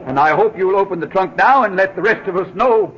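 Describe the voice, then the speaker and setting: A man's voice: high voice, animated, brisk, fairly bright tone, echoey room. An actor playing a showman auctioneer running a raffle in a 1940s radio drama, announcing in a theatrical style. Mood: showy. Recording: dull old radio broadcast.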